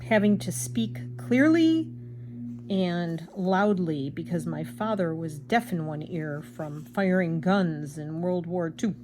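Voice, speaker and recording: Older woman, craft vlogger, loud and rambling, lower pitch, faint room noise. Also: slow pace. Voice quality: loud, projecting voice. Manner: chatty, rambling, self-deprecating. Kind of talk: casual chat while embroidering.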